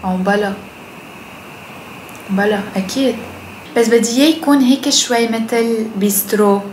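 Casting: young Lebanese woman vlogger, higher-pitched voice, casual and blunt, switches into English, home recording with noticeable background noise. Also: moderate pace, darkish tone, poor audio. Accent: Lebanese Arabic. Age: young adult. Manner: mixes English phrases into Arabic; blunt, swears freely. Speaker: food vlogger. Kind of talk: casual chat to camera. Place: indoors at home, kitchen and living room.

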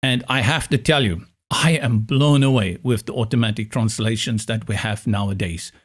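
An upbeat man in his forties whose mother tongue is Afrikaans walks viewers through a software setup. He speaks close to a large studio microphone in a small room with blinds, at a plain, mid pitch. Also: normal speed. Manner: upbeat, enthusiastic explainer. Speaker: web tutorial host. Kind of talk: screen-recorded software tutorial. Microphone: large studio mic in front of face.

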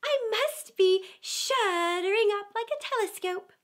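A woman's voice: very high voice, lively intonation, a little slow, fairly bright tone, voice pushed up, exaggerated voice. A woman voicing a curious, earnest little girl from a children's storybook, prim and wistful, talking to herself. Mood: surprised.

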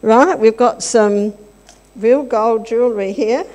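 Give me an older woman with a slightly high voice, giving a chatty children's talk into a handheld mic in a big church hall with long reverb. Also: unhurried, darkish tone. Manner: chatty, teasing, asks the children questions. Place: large church hall with congregation. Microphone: handheld microphone.

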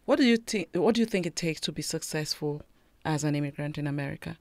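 A woman from Cameroon hosting a radio studio interview, encouraging her guest with frequent affirmations, on a boom-arm studio mic. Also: expressive, fast, darkish tone, dry recording. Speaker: host. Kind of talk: interview conversation.

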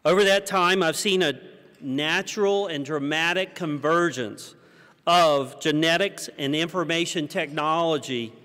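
An American man in his fifties giving a prepared conference keynote at a podium, with measured, rhetorical delivery and deliberate pauses. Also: on the high side, fairly bright tone. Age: 50s. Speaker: keynote speaker. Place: large conference hall stage, indoors. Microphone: podium microphone. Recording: reverberant hall sound.

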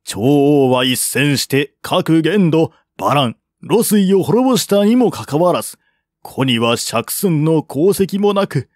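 A man acts out a courtly envoy from a historical novel. He speaks humbly and earnestly in a fairly high voice, very slowly and formally. The sound is close and dry. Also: a little mellow, dry recording. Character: deferential male envoy, courtly and earnestly persuasive. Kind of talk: audiobook reading of historical novel dialogue.

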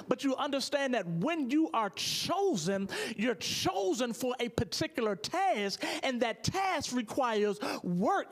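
A middle-aged American man, a pastor, preaching emphatically and repeating phrases from a church pulpit into a handheld mic. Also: very high voice. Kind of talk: sermon. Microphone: handheld microphone.

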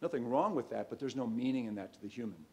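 A man in his thirties pitches his company from a stage in a large, darkened auditorium. He keeps it conversational, with plenty of ums and restarts. He speaks into a stand mic at a medium, ordinary pitch. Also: animated, quick pace, slightly rough. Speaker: startup CEO pitching. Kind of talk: conference pitch talk.

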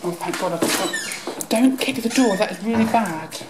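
A woman's voice: medium pitch, lively intonation, normal speed. A woman exploring an abandoned building, talking nervously and exclaiming in fear. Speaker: urban explorer. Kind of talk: handheld exploring vlog. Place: dark abandoned building indoors. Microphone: handheld camera. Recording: echoey empty building, loud noise.

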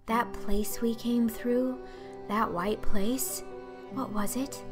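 A woman's voice: on the high side, steady tone, takes it slowly, music in the background. A woman voicing a dreamy, talkative, imaginative orphan girl in an audiobook, breathless and earnest. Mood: awed, hushed.

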